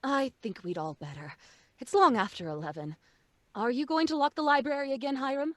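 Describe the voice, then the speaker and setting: A woman's voice: high voice, a little fast, a bit bright, no room sound. A woman playing a genteel, worried mother who fusses and placates in an old-time radio drama. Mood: uneasy, polite. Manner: fretful, genteel. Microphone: studio microphone on a video call.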